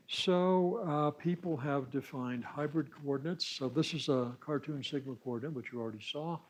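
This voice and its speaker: A man lecturing at a science summer school, with a middle-pitched voice. He explains technical material step by step, pausing as he searches for words and dropping in informal asides. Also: darkish tone, poor audio.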